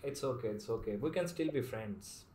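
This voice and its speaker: A man's voice: medium pitch, lively intonation, normal speed. A man from Pakistan, hosting casual flirty video chats with strangers and teasing them with cheesy pickup lines. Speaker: YouTuber host chatting with strangers on video chat. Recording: echoey room with loud background music.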